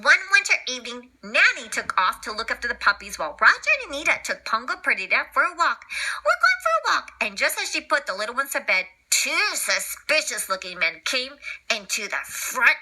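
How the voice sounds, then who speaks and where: A woman's voice: fairly high pitch, big pitch swings, a little fast, pushed and bright, poor audio. A woman reading a children's read-along story expressively, with informal asides and exclamations.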